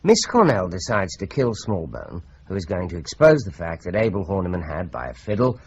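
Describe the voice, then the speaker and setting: A measured, low-voiced detective. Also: male voice, wildly swooping pitch, muffled recording, slight background noise, exaggerated voice. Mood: explaining. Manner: measured and explanatory. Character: calm, measured senior male detective.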